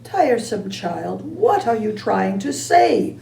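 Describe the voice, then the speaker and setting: A stern, proud mother, as a woman reads her in a storybook, formal and scolding, slow and measured. The high, mellow voice echoes in the room from a distance. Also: big pitch swings, creaky, full character voice.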